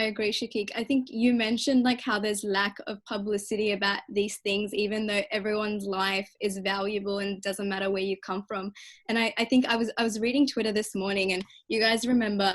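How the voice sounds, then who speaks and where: A woman's voice: medium pitch, fairly bright tone. Young woman speaking evenly on a panel. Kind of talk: reflective panel discussion.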